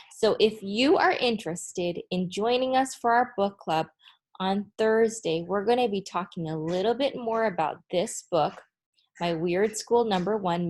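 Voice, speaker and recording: A woman with an unhurried pace speaks as a teacher to young listeners. She addresses them directly and expressively, in the style of a storybook read-aloud. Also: mid-range voice, darkish tone. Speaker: teacher reading aloud to students.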